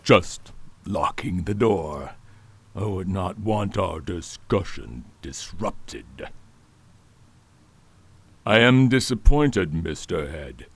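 A man playing a scheming villainous doctor, speaking in a silky, slow, quietly threatening way in a radio drama. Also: low voice, lively intonation, breathy edge, heavily acted. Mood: menacing. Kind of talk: amateur radio drama acting.

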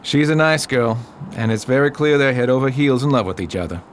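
A plain-spoken investigator, acted by a man in a radio mystery, skeptical and level-headed. His voice sits at medium pitch over faint noise. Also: brisk, close to an everyday voice. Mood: friendly, matter-of-fact. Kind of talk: radio mystery drama acting.

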